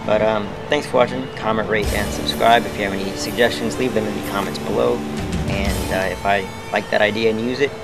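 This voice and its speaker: A man's voice: on the low side, steady tone, brisk, creaky, over loud music. A young man casually presenting a video to camera, recorded outdoors a few feet from the camera. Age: young adult. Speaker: video host. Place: outdoors in front of a screened porch.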